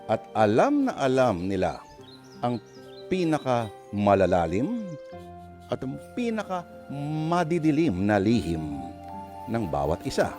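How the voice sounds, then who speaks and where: A male radio drama narrator takes his time over each phrase. His pitch swings widely in a theatrical, tongue-in-cheek way, with clear music behind him. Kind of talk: dramatic scripted story introduction.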